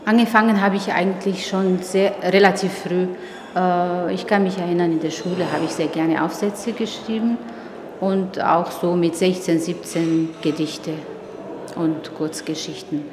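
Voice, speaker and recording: Woman, middle-aged, kinda low voice, hesitant thoughtful interview, big echoey hall, lots of background noise. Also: steady tone. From Tunisia. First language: Arabic. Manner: thoughtful, halting with frequent hesitations. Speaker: writer, interviewee. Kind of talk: reflective interview talk. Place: exhibition opening venue.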